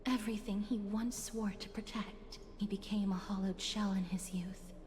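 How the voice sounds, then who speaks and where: A gentle, deferential attendant voiced by a woman in a video game. Her speech is measured and formal, with a mid-range pitch, a long reverb and faint noise. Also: steady tone, close to an everyday voice. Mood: sombre, respectful.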